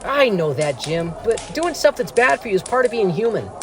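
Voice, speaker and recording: A man's voice: high-pitched, big pitch swings, moderate pace, noisy background. A man playing an eager, cheeky teenage sidekick in an audio drama, talking in casual boyish slang. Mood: grumbling, curious.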